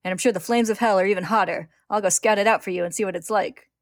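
Woman putting on a cocky snarling rebel villain guy for a storybook, gravelly, really dry recording. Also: on the low side, a little fast, dry recording, close to an everyday voice. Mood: manic bravado. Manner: snarling, taunting, brash. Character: cocky, defiant male homunculus, sneering, reckless rebel son.

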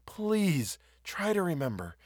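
A man's voice: a little high, lively intonation, normal speed, no room sound, clean studio sound, voice pushed up, natural voice. A man reading a story as an anxious, proud young woman, pleading and hesitant in manner. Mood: flustered pleading. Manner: pleading, hesitant, breaking off mid-sentence. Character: anxious, proud jilted young woman on her wedding day.

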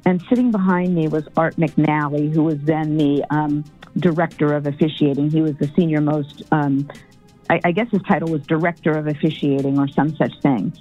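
Lady ex-team exec in an interview, loud and blunt, lowish voice, kinda dull sound w/ faint music under. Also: fairly even intonation, moderate pace. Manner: loud, forthright storyteller. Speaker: former football team executive. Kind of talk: documentary interview.

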